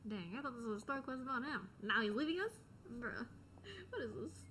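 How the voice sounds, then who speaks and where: A woman's voice: fairly high pitch, expressive, a little fast, pushed and bright, a little hiss or hum. A young woman giving casual, slangy gaming reaction commentary, tossing asides at the game. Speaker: gameplay streamer. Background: video game audio.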